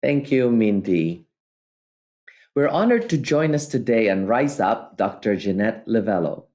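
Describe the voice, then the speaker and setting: Mellow-voiced male podcast host. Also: lowish voice, lively intonation, measured pace. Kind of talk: formal scripted introduction and interviewing.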